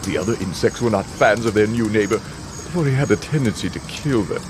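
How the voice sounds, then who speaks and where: An actor voicing a sinister, gloating contest master, narrating a video game in a dramatic, drawn-out way. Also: male voice, lowish voice, background noise, lightly acted. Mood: ominous. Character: sinister, gloating male host of a deadly car contest. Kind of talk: theatrical scripted game narration. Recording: loud music behind the voice.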